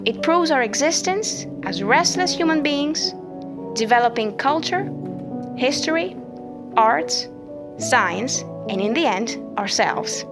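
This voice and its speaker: A woman reading a scripted introduction with a high, very lively voice that rises and falls a lot. Her reading is reflective and expressive, with music clearly playing beneath her. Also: slow. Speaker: show host. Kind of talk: scripted narration.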